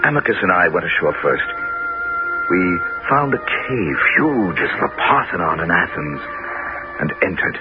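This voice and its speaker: An actor playing a bold, commanding Greek hero who also narrates, in a 1970s radio drama. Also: male voice, low-pitched, very animated, a little slow, loud music behind the voice. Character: brave male Greek hero, commanding leader. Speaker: narrator and lead. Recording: dull vintage radio broadcast.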